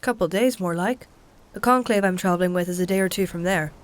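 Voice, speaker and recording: An actress playing a practical, stubborn travelling healer in a fantasy audio drama, with a dry, matter-of-fact, conversational way of talking. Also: female voice, lowish voice, brisk, slight background noise, close to an everyday voice. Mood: wry, reassuring. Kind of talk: dark fantasy audio drama dialogue and narration.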